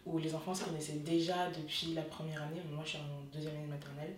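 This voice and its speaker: A woman in her twenties, from Benin and Togo, telling her school story in a brisk, animated way. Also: low voice. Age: 20s. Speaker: design student giving testimony. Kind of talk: casual conversational testimony. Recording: distant mic, echoey room, audible noise.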